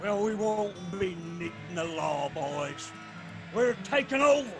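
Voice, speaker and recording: An actor playing a stern, defiant Appalachian clan patriarch out for vengeance in a radio drama. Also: male voice, sits high, animated, unhurried, loud music behind the voice. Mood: defiant, menacing.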